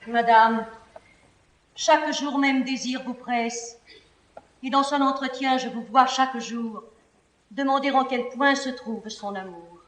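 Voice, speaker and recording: High voiced loyal confidante, earnest deferential tragic verse, echoey room. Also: female voice, slow pace. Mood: concerned, probing. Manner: deferential, earnest, theatrical declamation. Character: devoted, respectful but reproving female confidante of a princess. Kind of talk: declaimed classical French verse stage tragedy. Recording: roomy, distant theatrical studio recording.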